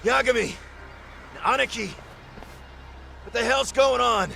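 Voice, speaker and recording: A young, excitable gangster sidekick in a video game, with a sharp, forceful voice and a gravelly edge. He drags his phrases slightly. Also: extremely high pitch, slow pace, slight background noise, full character voice. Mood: alarmed. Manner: loud, forceful. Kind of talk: video game cutscene acting.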